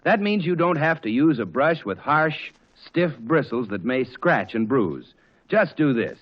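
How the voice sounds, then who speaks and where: A man's voice: mid-range voice, very animated, measured pace. An American man announcing in the polished sales style of 1940s radio. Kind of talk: 1940s radio advertising and scripted narration. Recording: dull, muffled 1940s radio recording.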